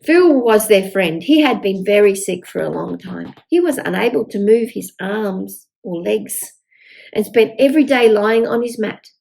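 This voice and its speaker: An older woman reading a picture book aloud to young children in an expressive storytelling manner. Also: medium pitch, unhurried, vocal fry, rough recording. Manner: expressive, with exaggerated dialogue.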